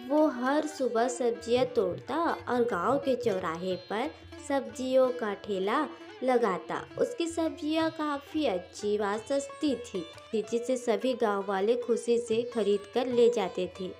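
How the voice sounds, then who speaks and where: Slow, high-voiced woman narrating a story. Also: darkish tone. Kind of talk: scripted children's story narration. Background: music.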